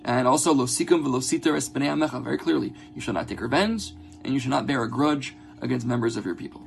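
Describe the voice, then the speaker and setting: Male religious teacher, brisk and conversational, earnest, with gravelly fry and slight background noise. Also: medium pitch, expressive. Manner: earnest, conversational, repeats phrases and checks in with "right?". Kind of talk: informal religious lesson with text commentary.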